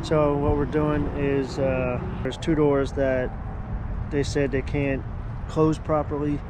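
A man's voice: on the high side, flat delivery, slow pace, noisy background. A man working as a one-man renovation contractor, giving an offhand running commentary in a casual vlog.

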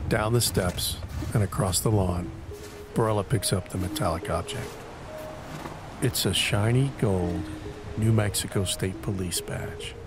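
A man narrating an audio drama in a deliberate, brooding way, pausing between short phrases for dramatic weight. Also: deep voice, music in the background.

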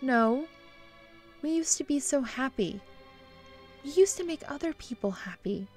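Actress as a wistful, high-voiced wife. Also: female voice, lively intonation, unhurried, soft background music. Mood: pleading. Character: kindly, wistful, long-suffering bishop's wife. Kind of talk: period radio-drama acting.

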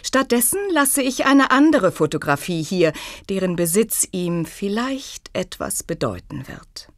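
A woman's voice: mid-range voice, very animated, a little slow, dry recording, clean studio sound. An actress playing a clever, self-possessed young woman in a German audio drama, poised, formal and faintly teasing. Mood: resolute, gracious.

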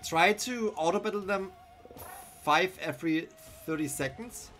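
A man's voice: high-pitched, measured pace, fairly bright tone, soft background music. A young man with a German accent casually commenting live on his game while answering chat, speaking into a headset in a small room. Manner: chatty, thinking aloud, answers chat. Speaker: streamer.

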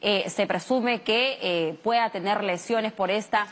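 A woman in her thirties from Peru, anchoring a news broadcast from a TV studio. She puts her questions in an earnest way, at a middle pitch. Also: a little slow, fairly bright tone. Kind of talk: live news interview.

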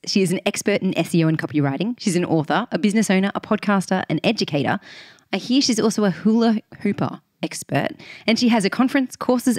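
A woman hosting a marketing podcast, upbeat and chatty, with a slightly croaky voice today. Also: fairly low pitch, darkish tone, no echo, clean studio sound. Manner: upbeat, scripted intro then chatty questions. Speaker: podcast host. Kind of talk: podcast interview.

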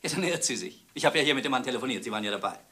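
A man's voice: mid-range voice, animated, rapid-fire, bright, forceful tone, slightly rough. A man playing an evasive young murder suspect in a German radio crime drama, answering nervously. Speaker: actor in a radio crime play.

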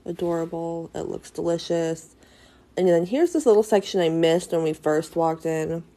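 A woman's voice: fairly low pitch, moderate pace, poor audio. A woman in her thirties with a stuffy nose, casually narrating a tour of a small cat cafe shop with lots of fillers. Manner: chatty, filler-heavy, upbeat and easygoing. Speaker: small business vlogger. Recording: voice over loud background music.

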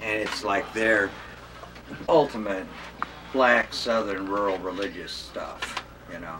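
Guy chatting casually, keen, repeats stuff, far from mic w/ clear background noise. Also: on the low side, slow. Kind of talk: casual chat about old records and radios. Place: indoors in a house. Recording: distant camera mic, noisy room.

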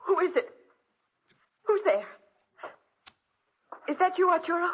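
A woman's voice: very high-pitched, big pitch swings, unhurried, heavily acted. An actress playing a proud, anguished blind young woman, dramatic and defensive in 1940s radio style. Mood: wary, proud. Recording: muffled vintage 1940s radio recording.

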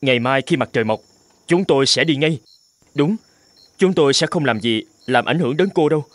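An actor playing a young, easygoing and polite male traveller in an animated cartoon, with expressive delivery. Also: mid-range voice, fairly quick, dry recording, close to an everyday voice. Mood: polite, reassuring. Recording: music behind.

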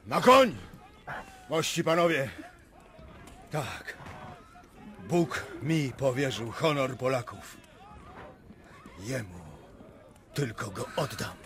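An actor playing a proud, anguished prince and wounded army commander in a radio drama, declaiming with passion. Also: male voice, mid-range voice, expressive, slow, long pauses, a bit bright. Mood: commanding. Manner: impassioned, declamatory. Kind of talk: theatrical radio drama acting.

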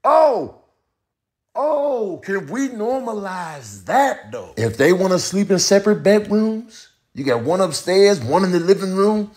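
A man's voice: sits high, animated, unhurried, a little mellow, slightly rough, exaggerated voice. A man voicing a bossy, trash-talking animal in a comic voice-over, with slangy, repetitive banter. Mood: frantic, pleading. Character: bossy, trash-talking male animal in dubbed comedy clips.

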